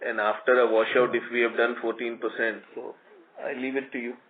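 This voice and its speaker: A man's voice: medium pitch, level tone, brisk. A man speaking as a company executive, answering analysts' questions on a conference call. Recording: tinny phone line with clear noise.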